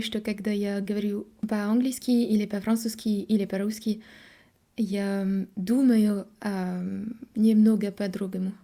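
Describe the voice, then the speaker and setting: A young French woman with a French accent, talking to camera in a learner's halting Russian, pausing often to search for words and correct herself. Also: medium pitch, warm tone. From France. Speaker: vlogger. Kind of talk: casual monologue to camera.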